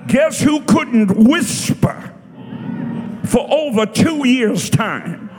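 An American man in his sixties preaching a fiery Pentecostal revival sermon, shouting and exhorting with repeated phrases. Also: very high voice, big pitch swings, extremely slow. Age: 60s. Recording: reverberant large hall, music behind.

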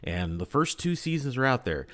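A man's voice: medium pitch, very animated, normal speed, fairly bright tone. A man chatting casually as a podcast guest, with an emphatic, repetitive way of phrasing things. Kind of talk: casual chat.

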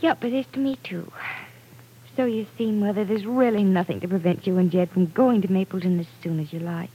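An actress playing an affectionate, reassuring grown daughter in 1940s radio soap style. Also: female voice, mid-range voice, a little fast, warm tone, slightly rough, natural voice, poor audio. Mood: breezy reassurance. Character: reassuring grown daughter, affectionate, breezy, independent young woman.

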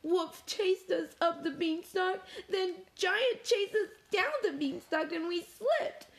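A woman's voice: extremely high pitch, slow, fairly bright tone, voice pushed up, heavily acted. A woman voicing a timid, shaken runaway spoon in a storybook, hesitant and plaintive. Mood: tearful, apologetic.

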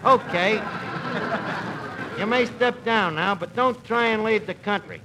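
A man's voice: high-pitched, a little slow. A man playing a cocky, bumbling amateur detective in old radio comedy, mangling his grammar with great self-importance. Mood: suspicious, bossy. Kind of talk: 1940s radio comedy acting before an audience. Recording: noisy old radio broadcast recording.

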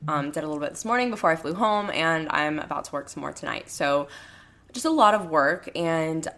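A young woman in her twenties hosting a solo podcast from home, her voice hoarse and raspy, rambling casually with lots of fillers. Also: sits low, expressive, brisk, a bit bright. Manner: rambling, heavy use of "like" and "um". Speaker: podcast host.

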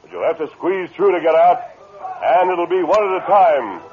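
A man's voice: fairly high pitch, very animated, moderate pace. An actor playing a commanding, self-assured masked lawman in an old radio western, speaking in declamatory style. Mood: triumphant, commanding. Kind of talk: 1940s-50s radio western acting. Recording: muffled, narrow-band old radio broadcast, background noise.

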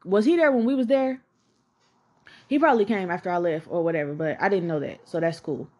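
A woman's voice: fairly low pitch, fairly quick, warm tone. A woman in her twenties from Atlanta with a Southern accent, hosting a podcast and candidly telling her life story in a chatty way, swearing and saying "like" a lot. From Atlanta, Georgia. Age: 20s. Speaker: podcast host. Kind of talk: casual personal storytelling.

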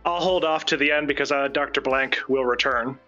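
A man's voice: mid-range voice, brisk, bright, forceful tone, highs cut off, faint music behind. A man on a livestream, casually organising a group reading and reading out report text.

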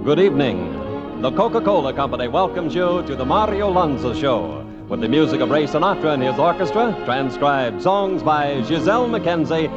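A man's voice: on the high side, very animated, normal speed. A man announcing a 1950s radio show in a formal, showmanlike, scripted style. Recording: dull vintage radio recording, loud orchestra. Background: loud orchestral music.

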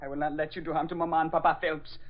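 A man's voice: a little high, level tone, very fast, lightly acted. A male actor playing a defiant, frightened young French orphan boy with a French accent in a 1940s radio drama. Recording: muffled vintage radio recording.

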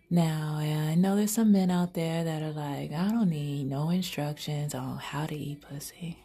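A woman's voice: deep voice, dark tone, husky. A woman hosting her own podcast, improvising erotic storytelling in a sultry, half-whispered, intimate way. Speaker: podcast host.

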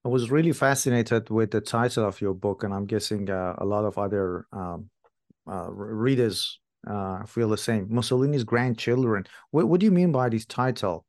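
A man's voice: on the low side, moderate pace, a little mellow, no room sound. Man hosting a podcast interview, dry sound. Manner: polite, hesitant questions with fillers. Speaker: podcast host.

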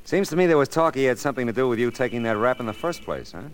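An actor playing a world-weary, wisecracking private detective with an American accent and a sardonic, clipped delivery in 1940s radio noir style. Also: male voice, mid-range voice, lively intonation, racing, close to an everyday voice.

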